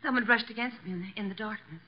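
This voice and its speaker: A woman's voice: mid-range voice, very fast, slightly rough, lightly acted. An actress playing a nervous, fluttery woman suspect with an anxious, exclaiming manner in 1950s radio drama style. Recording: dull old radio broadcast recording.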